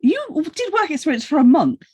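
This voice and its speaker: A woman's voice: high voice, sing-song, fairly quick, darkish tone. A British woman bantering casually as a podcast interviewer, in an irreverent, sweary way.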